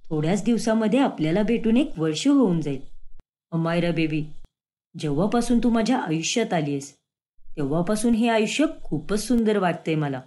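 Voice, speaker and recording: A woman's voice: expressive, normal speed, dark tone, natural voice. A woman doing a sneaky young husband. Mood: tender. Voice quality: lowered voice.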